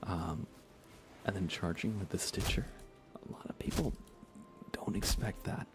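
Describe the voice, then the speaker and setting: Soft-spoken man with a low, creaky voice. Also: unhurried, background noise. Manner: hushed, often slipping into whisper. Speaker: live streamer. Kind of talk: soft-spoken ASMR gaming livestream chat.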